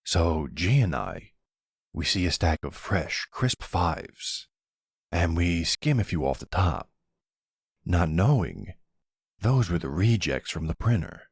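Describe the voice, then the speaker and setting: Man playing a deep, gravelly flirty mafia boss. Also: sing-song, slow pace, dry recording, studio quality, full character voice. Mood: amused reminiscing.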